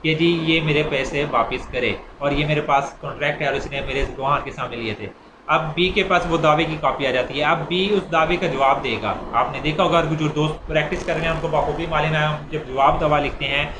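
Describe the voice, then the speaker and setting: A man's voice: a little high, a little fast. Echoey recording of a Pakistani male lecturer. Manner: repetitive, step-by-step explaining. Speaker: law lecturer. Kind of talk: informal lecture, explaining with examples. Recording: echoey room with clear background noise.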